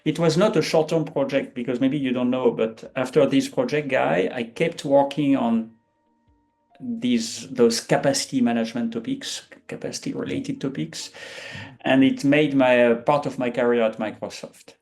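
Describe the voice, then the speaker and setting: A man's voice: mid-range voice, fairly even intonation, normal speed, gravelly, a little mellow, faint music behind. A man in his fifties with a French accent, a guest in an interview who talks with frequent hesitations and restarts. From France. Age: 50s. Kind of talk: conversational interview.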